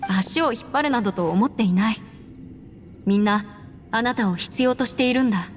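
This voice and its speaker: Earnest, high-voiced young anime heroine played by an actress. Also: female voice, normal speed, a little hiss or hum, lightly acted. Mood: pleading, firm. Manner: articulate, earnest, slightly formal. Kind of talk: Japanese anime game voice acting. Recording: long reverb, music behind.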